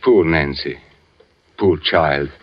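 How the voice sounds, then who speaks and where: An actor playing a gentle, brooding blind composer who speaks deliberately with haunting pauses in 1940s radio drama style. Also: male voice, extremely low pitch, full character voice. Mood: sorrowful, ominous. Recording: muffled old radio recording with music.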